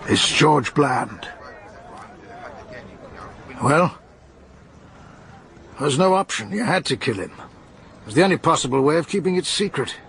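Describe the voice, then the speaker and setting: An actor playing a sinister male conspirator, deliberate and menacing, in a radio drama. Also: fairly high pitch, expressive, vocal fry, fairly bright tone, exaggerated voice.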